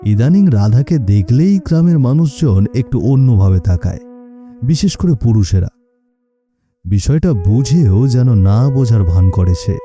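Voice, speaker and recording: A man narrating a cartoon story in a dramatic, measured scripted way. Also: mid-range voice, lively intonation, mellow, faint music behind.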